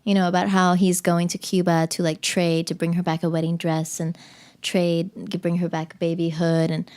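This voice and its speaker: A woman's voice: fairly low pitch, level tone, moderate pace, darkish tone. Chatty woman from the Cayman Islands. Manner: chatty, many fillers and restarts. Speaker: podcast guest. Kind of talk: casual interview chat.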